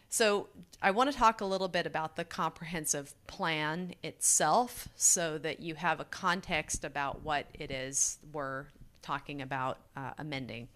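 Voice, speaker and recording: Female presenter, lowish smooth voice, loud and self-assured, light humor at a public meeting. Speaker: workshop presenter, former professor. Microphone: microphone.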